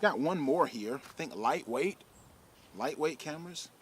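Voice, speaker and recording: A man, speaking casually outdoors under a shade tree into a camera-mounted shotgun mic, explaining fishing-video gear in a relaxed, rambling way. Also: a little high, unhurried, noisy background. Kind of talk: casual gear advice vlog.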